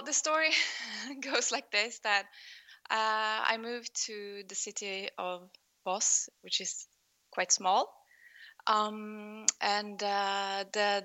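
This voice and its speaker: A middle-aged Norwegian woman with a Norwegian accent, a designer being interviewed over an online call, pausing often with fillers as she thinks. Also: medium pitch, sharp tone. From Norway. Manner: thoughtful, pauses often with fillers, light laughs. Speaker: guest, fashion designer. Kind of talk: remote interview conversation.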